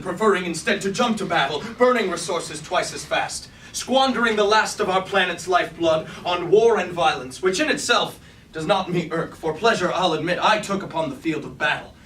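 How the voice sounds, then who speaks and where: Casting: male actor for a loyal robot soldier, high voice, theatrical stage verse, recorded from a distance in a roomy space. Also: fairly bright tone. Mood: grim. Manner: theatrical, declamatory, archaic formal diction. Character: loyal robot warrior lieutenant, proud, battle-weary, devoted to his king. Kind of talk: staged reading of mock-classical verse tragedy. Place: indoor room at a staged reading. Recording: roomy, distant mic, faint noise.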